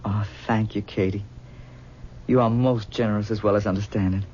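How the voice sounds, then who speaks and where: A man's voice: fairly low pitch, steady tone, moderate pace, a little mellow, lightly acted. A man playing a haunted young husband who speaks theatrically and stammers under stress in a 1970s radio drama. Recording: dull noisy old radio broadcast.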